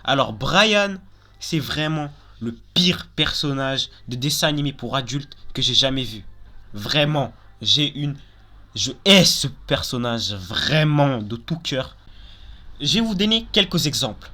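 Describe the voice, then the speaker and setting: A man's voice: mid-range voice, animated, a little slow, pushed and bright. A young man hosting a casual countdown video, talking informally and giving opinions, often repeating and qualifying himself. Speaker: video host.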